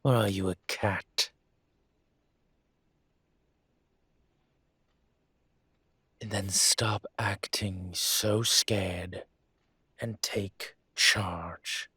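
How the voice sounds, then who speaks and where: A man acts out a dragon mafia boss in human guise for an intimate audio roleplay. His voice is lowish and breathy, and he speaks very slowly and deliberately, with a commanding, teasing charm. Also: fairly even intonation, bright, forceful tone, dry recording, studio quality, heavily acted. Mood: firm, exasperated.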